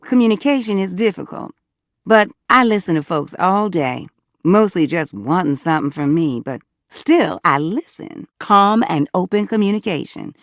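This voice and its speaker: A woman acts out a sassy, folksy American lady from a video game, a household fixture come to life. Her pitch swings widely as she coaxes and teases in colloquial talk. She is warm-hearted, and the recording is close and dry. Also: lively intonation, moderate pace, no echo, natural voice. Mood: sassy, teasing. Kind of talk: video game character dialogue.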